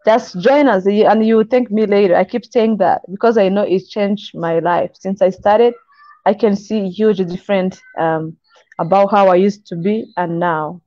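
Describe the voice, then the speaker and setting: A young woman from Congo, not an English speaker, speaking earnestly and encouragingly in halting phrases on a video call from a kitchen. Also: medium pitch, steady tone, mellow, no room sound. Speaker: guest. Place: kitchen indoors. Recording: dull, lo-fi call audio.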